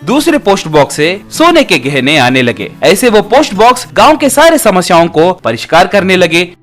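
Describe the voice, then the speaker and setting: A man's voice: high voice, sing-song, moderate pace, a bit bright, soft background music. A man narrating a scripted comic folk tale as a storyteller.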